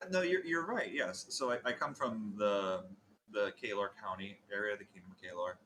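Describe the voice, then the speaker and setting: A man chats in character during a tabletop role-playing game. He rambles and halts, and keeps contradicting himself. His voice sounds bright and forceful, with a faint hiss of noise behind it. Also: on the low side. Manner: rambling, halting, self-contradicting. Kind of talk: in-character casual chat.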